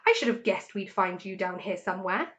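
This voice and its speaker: A woman voicing a confident adult male fox, a smooth and commanding father in a children's story. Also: medium pitch, expressive, a little fast, fairly bright tone, lo-fi sound, close to an everyday voice. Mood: firm.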